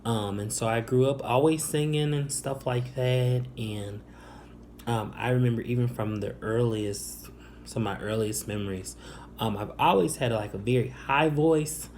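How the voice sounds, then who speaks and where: A young man from the Chicago area telling a personal story to camera, soft-spoken and hesitant, with many ums and restarts. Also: mid-range voice, moderate pace, a little hiss or hum. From Northwest Indiana, Chicago area. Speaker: vlogger.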